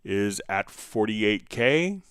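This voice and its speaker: Male livestream software instructor, lowish resonant voice, informal halting explanations, dry close sound. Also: big pitch swings, clean tone, no room sound. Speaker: host answering viewer questions on a software livestream. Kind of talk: informal tutorial, explaining step by step.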